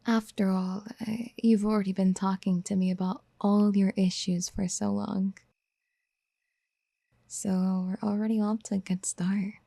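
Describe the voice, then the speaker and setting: A woman playing a possessive, motherly yandere in a soft-spoken ASMR roleplay, gentle and coaxing, often slipping toward a breathy whisper. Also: medium pitch, level tone, unhurried, no echo. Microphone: close studio mic.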